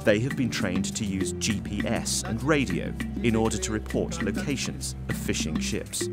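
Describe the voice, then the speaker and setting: A man giving a scripted documentary narration in a measured, even voice. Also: on the low side. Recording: voice-over with music bed. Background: music.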